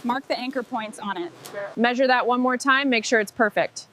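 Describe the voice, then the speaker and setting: Woman crew leader, kinda high voice, calm short orders, faint shop noise behind. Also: moderate pace. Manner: calm, clipped instructions. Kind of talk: scripted workshop dialogue.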